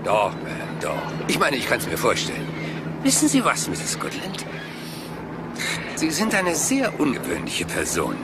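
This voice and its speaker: An actor playing a polite, probing police inspector in a German crime radio drama, hesitant and meandering, seemingly absent-minded. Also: male voice, on the high side, wildly swooping pitch, measured pace, heavily acted. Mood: politely persistent. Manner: hesitant, meandering, deceptively apologetic. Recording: music behind the voice.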